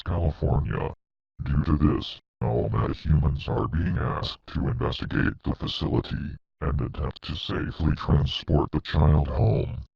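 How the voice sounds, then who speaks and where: A man acts as a solemn emergency broadcast announcer with an extremely deep voice, full of heavy fry. The delivery is formal and official, and the sound is dull and lo-fi. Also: darkish tone, dry recording, heavily acted.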